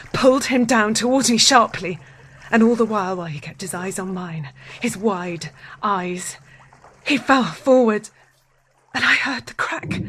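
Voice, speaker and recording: An actress playing a haunted, unhinged wife in a dramatic audio drama monologue, deliberate and intense, repeating phrases. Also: female voice, a little high, fairly bright tone, slight background noise. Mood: intense, fearful. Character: haunted, unhinged wife narrating a violent confession.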